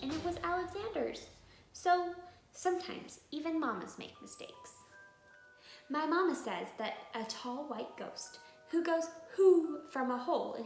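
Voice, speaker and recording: A woman reading a children's story aloud as host, with a dramatic, expressive storytelling delivery. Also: a little high. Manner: dramatic, animated delivery with emphatic stresses and hushed moments. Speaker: children's book reader and channel host. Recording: roomy echo with clear music behind. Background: music.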